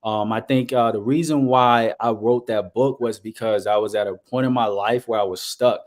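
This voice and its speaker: A man's voice: lowish voice, fairly even intonation. Earnest man from Liberia on a video call. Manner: earnest, measured, with frequent fillers. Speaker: guest, business coach. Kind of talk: casual interview talk.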